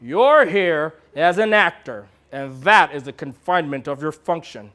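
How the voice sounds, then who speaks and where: A man playing a bossy, dismissive film director who talks down to people in a curt, measured, patronising way. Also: fairly high pitch, lively intonation, pushed and bright. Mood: stern, dismissive. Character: condescending, bossy male film director, dismissive and money-minded.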